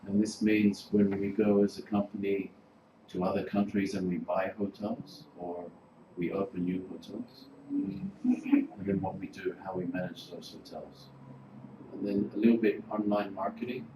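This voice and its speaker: A man's voice: deep voice, fairly even intonation, normal speed. A man from Greece in his forties, a hotel manager giving an informal workshop with lots of fillers. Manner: chatty, informal, filler-heavy. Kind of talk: relaxed presentation to a class. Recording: roomy, noisy room recording.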